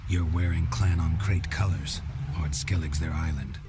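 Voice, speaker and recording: A very low-pitched world-weary monster hunter, from a fantasy game. He is stoic and terse, clipping his sentences in a deadpan way, with music clearly playing behind him. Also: normal speed. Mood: guarded, matter-of-fact. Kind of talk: video game acting.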